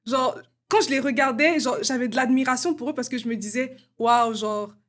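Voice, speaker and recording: A woman's voice: a little high, normal speed. Brief: young woman guest from Congo, raised voice, chatty, sprinkles "genre" and hesitations. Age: young adult. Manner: casual, many fillers like "genre" and "euh". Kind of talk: casual interview conversation.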